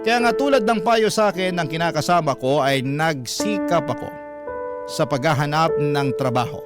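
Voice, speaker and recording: A man's voice: fairly high pitch, moderate pace, close to an everyday voice. A man playing a troubled young Filipino husband who narrates his life story earnestly, like a confession, in a radio drama. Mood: earnest, resigned. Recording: loud music under the voice.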